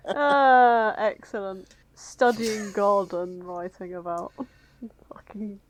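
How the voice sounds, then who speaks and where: A woman's voice: mid-range voice, steady tone, slow. A woman co-hosting a podcast, bantering and reading aloud in a sweary, incredulous manner. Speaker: podcast co-host.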